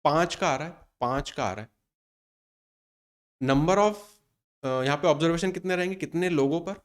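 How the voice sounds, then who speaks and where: Man in his thirties, maths teacher, Hindi with English terms, fairly high voice, explains and answers his own questions. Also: normal speed. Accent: Indian Hindi with English terms mixed in. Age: 30s. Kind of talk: exam-prep maths lesson.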